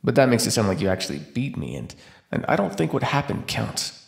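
A man's voice: mid-range voice, very animated, fairly quick, gravelly. A man playing a defiant captive vampire in an ASMR roleplay, talking with sarcastic, taunting flippancy. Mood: sarcastic, needling. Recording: roomy echo.

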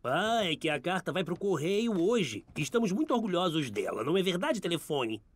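A man's voice: high-pitched, big pitch swings, normal speed. A man with a Brazilian accent voicing a proud, doting father piece of furniture in a children's cartoon. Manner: doting, advising.

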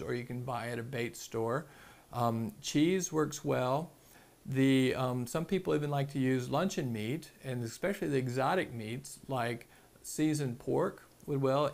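A man, calmly explaining fishing tips step by step at a table indoors, with plenty of ums. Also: medium pitch, creaky. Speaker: fishing channel host. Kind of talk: casual instructional explanation to camera. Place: small indoor room at a table.

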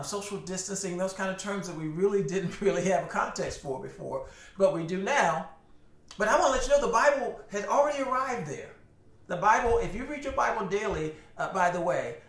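A man's voice: a little high, fairly bright tone, echoey room. A middle-aged man preaching a sermon from his home study into a desk camera, earnest and preacherly, repeating words as he builds emphasis. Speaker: pastor. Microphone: camera at desk, head and shoulders framing.

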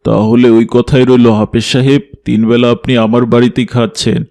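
A man plays a strict middle-aged cartoon father, devout and formal. His mid-pitched voice stays very even as he gives measured, authoritative instructions. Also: close to an everyday voice. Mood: courteous, formal.

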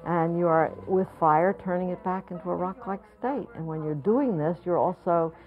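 A woman's voice: lowish voice, moderate pace, phone-quality sound, faint music behind. An older woman, a potter, talking reflectively in an interview, often searching for words and repeating herself. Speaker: potter and art school founder. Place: studio or home with pottery shelves.

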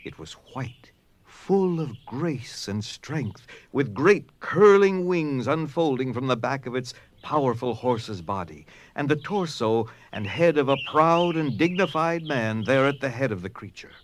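A man narrating a dramatized story theatrically, with dramatic pauses and hushed emphasis. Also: medium pitch, expressive, a little hiss or hum. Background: music.

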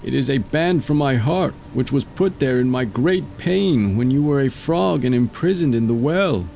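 A man's voice: medium pitch, lively intonation, normal speed, gravelly, darkish tone, pitched above the performer's own voice. A man voicing a devoted, emotional male servant in a fairy tale, earnest and old-fashioned in phrasing.

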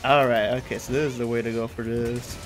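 A man's voice: medium pitch, level tone, fairly quick, slight background noise. A man in his thirties from California, chatting casually while streaming a game, laughing often. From California, USA. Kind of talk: casual gameplay commentary. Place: home room. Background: faint game music.

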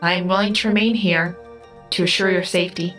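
An actress voicing a calm female artificial intelligence in an audio drama, speaking precisely and formally. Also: on the low side, brisk, natural voice. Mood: grave, formal. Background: music.

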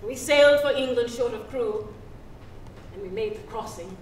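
A middle-aged woman playing a free young woman who solemnly recounts her birth at sea in a measured, halting stage monologue. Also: a little high, lightly acted. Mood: grave, sorrowful. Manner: measured, halting, deliberate storytelling. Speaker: actress. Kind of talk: dramatic stage reading, solo storytelling monologue. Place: theatre stage. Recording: roomy stage pickup, distant, hissy ambience.

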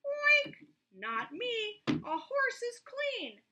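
Storybook pig, voiced by a woman: muddy, chirpy, very high and squealing. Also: huge pitch swings, very slow, background noise, heavily acted. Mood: playful.

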